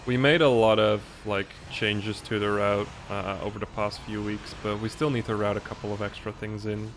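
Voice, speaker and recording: A man's voice: lowish voice, flat delivery, normal speed, slight background noise. A man from the Netherlands whose first language is Dutch, chatting casually in commentary while playing as a speedrunner, with a pronunciation mixing British and American. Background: game music.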